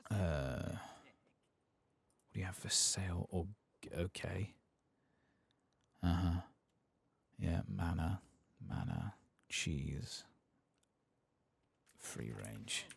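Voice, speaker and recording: A soft-spoken man muttering casual ASMR commentary as he plays a game, with a husky, gravelly voice. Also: low voice, animated, very slow, pauses often. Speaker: gameplay streamer.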